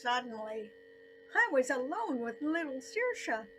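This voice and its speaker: Older female storyteller, slow and deliberate, expressive delivery with dramatic pauses, high register. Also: a little hiss or hum. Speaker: storyteller narrating her own story. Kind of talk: scripted personal story narration.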